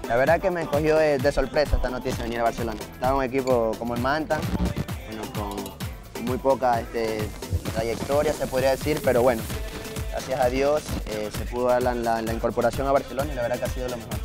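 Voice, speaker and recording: A man in his twenties with an Ecuadorian accent, a guest answering questions in a TV studio, halting with fillers. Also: medium pitch, fairly even intonation. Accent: Ecuadorian Spanish. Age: 20s. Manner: hesitant, filler-heavy answers. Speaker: footballer guest. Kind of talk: TV studio interview. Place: TV studio kitchen set. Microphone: lapel. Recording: TV studio with music bed.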